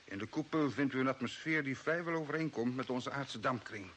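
A man's voice: medium pitch, fairly quick, fairly bright tone, natural voice. A man acting a formal, authoritative guide to an alien base in a radio drama. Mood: instructive. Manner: formal, instructive. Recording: noisy old radio recording, long reverb.

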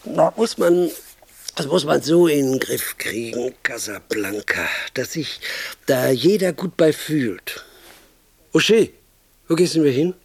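A tactless, pushy pitchman in a radio play, with a highish male voice that swings widely up and down. He rambles insistently, cuts himself off and bursts into emphasis. The sound is close and dry. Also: expressive, slow pace, creaky, slightly rough, no echo, heavily acted. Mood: agitated, pleading. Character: brash, pushy male film idea man, tactless and excitable. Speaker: film pitcher. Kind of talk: radio drama monologue, colloquial film pitch.

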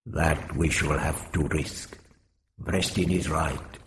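A man reading aloud voices a grave, resigned male winged alien deputy, slow and measured. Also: a bass voice, steady tone, vocal fry, heavily acted.

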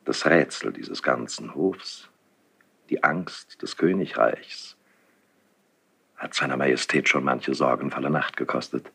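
An actor playing an older, insinuating court priest in a classical German radio drama, speaking formally and solemnly with breathy, husky declamation. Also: male voice, a bass voice, a little slow, pushed and bright, exaggerated voice. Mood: solemn, probing. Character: insinuating older court priest, confessor to the king, smooth and probing.

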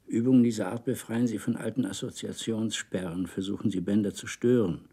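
Mellow, husky man giving instructions. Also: lowish voice, steady tone, fairly quick. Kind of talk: instructional spoken text.

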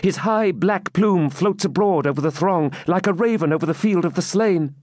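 A man playing a high, breathless, fervent young maiden. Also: normal speed, darkish tone, no room sound, studio quality. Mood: agitated, urgent. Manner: breathless, exclamatory, archaic dramatic speech.

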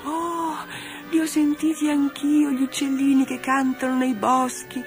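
A wistful little rag doll with a breathy, sighing voice, pitched far above the narrator's own as a woman puts her on. She carries a dreamy, wondering tone, with faint music behind her. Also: flat delivery, unhurried. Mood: delighted. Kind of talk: storybook reading.

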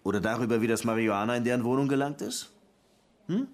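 An actor playing a sardonic, insistent police lieutenant interrogating suspects in a radio crime drama. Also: male voice, medium pitch, lively intonation, fast talker, natural voice. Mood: pressing, sardonic. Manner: dry, insistent, pressing.

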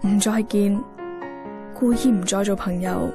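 A woman's voice: medium pitch, fairly even intonation, a little slow, music in the background, close to an everyday voice. An actress playing a wistful young woman with a Hong Kong accent, reflectively narrating a lost love in a radio drama. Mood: wistful, tender.

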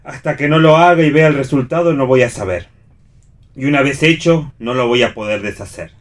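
A man's voice: medium pitch, fairly quick, lightly acted. A man's mid-range voice as a wry, troubled family friend in a radio play performed by an actor. His delivery is dry and ironic. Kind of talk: read radio theatre.

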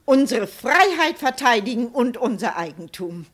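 An actress playing a resolute, domineering woman in a German radio play, commanding and brisk, with little patience for sentiment. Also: fairly high pitch, very animated. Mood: determined, urgent. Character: resolute, domineering woman defending her home, scornful of sentiment.

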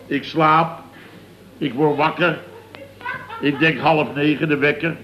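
An actor playing a blustering, exasperated middle-aged contractor boss who rambles indignantly in a radio comedy. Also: male voice, a little high, steady tone, takes it slowly. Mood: rambling, aggrieved. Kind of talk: radio comedy serial acting. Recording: dull, noisy old radio recording.

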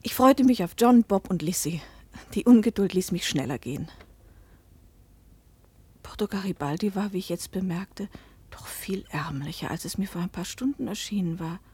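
An actress in a German radio play as a guarded, dreamy, troubled woman, breathy and introspective, with measured literary delivery. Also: medium pitch, warm tone. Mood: reflective. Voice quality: breathy, often dropping to a whisper.

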